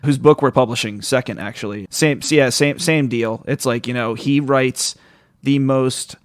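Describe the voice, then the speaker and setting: A man's voice: mid-range voice, fairly even intonation, normal speed, no echo, studio quality. A man hosting a podcast in casual interview chat, talking in a rambling, informal, slangy way. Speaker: podcast host.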